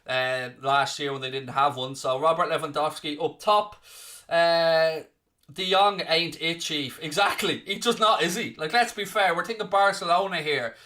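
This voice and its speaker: A man's voice: on the high side, steady tone, moderate pace, bright, forceful tone. An Irish man from southeast Ireland hosting a live football watchalong stream, bantering cheekily with the chat. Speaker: stand-in watchalong host. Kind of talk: casual live-stream chat reading the comments.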